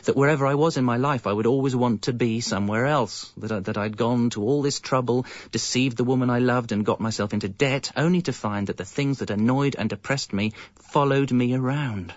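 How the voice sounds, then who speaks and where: A man's voice: medium pitch, a little fast, few pauses, no echo, dull audio, lightly acted. An actor playing a harried husband and father with an English accent, narrating a radio comedy in a wry way, stammering when flustered. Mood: wry, rueful. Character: harried, exasperated husband and father telling his story. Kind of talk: radio comedy first-person narration and dialogue.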